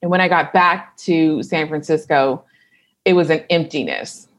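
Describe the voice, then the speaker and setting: A woman entrepreneur from Kentucky, a guest on a podcast, who speaks in a fairly low voice. She thinks aloud as she answers and often pauses on "um" and restarts her sentences. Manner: reflective, thinks aloud with fillers. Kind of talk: casual interview talk.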